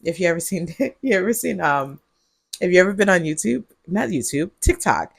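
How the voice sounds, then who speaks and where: Woman vlogger, husky and gravelly, groggy from cold medicine, rambling, dry close sound from earbud mic. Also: fairly low pitch, big pitch swings, moderate pace, no room sound. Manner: rambling, giggly and drowsy. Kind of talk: casual vlog monologue.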